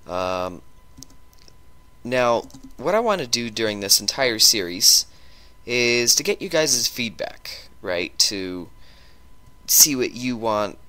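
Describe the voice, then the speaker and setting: A man with a sore throat and a stuffy nose, chatting through a gameplay video and talking in a halting way with lots of ums and restarts. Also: medium pitch, very animated, sharp tone, smooth, no room sound. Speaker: gaming YouTuber. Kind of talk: casual gameplay commentary.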